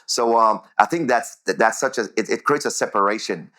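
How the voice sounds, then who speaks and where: A man's voice: on the low side, brisk, pushed and bright. A man with a Jamaican accent answering interview questions conversationally, repeating words as he goes and often checking in with "right?".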